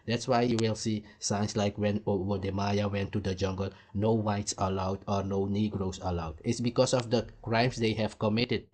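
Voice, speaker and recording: A man's voice: fairly low pitch, level tone, moderate pace, hardly any pauses, a little mellow. Blunt, profane man ranting. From Suriname. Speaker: commentary host. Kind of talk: ranting reaction commentary.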